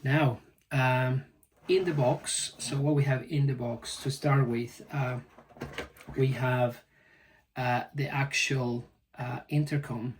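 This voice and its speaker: A man giving a casual, halting unboxing commentary as a product reviewer. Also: mid-range voice, fairly even intonation. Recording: distant mic, faint background noise.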